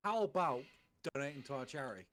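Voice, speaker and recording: A man reading fan fiction aloud on a stream in a comic dramatic style, swearing and halting over misspelt words. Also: mid-range voice, animated, fairly bright tone.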